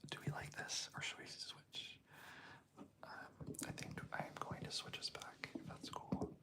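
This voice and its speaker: A young man hosting a livestream who whispers throughout, chatting softly and reassuringly with his viewers. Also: a little slow. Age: young adult. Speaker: ASMR livestream host. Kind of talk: whispered ASMR livestream chat.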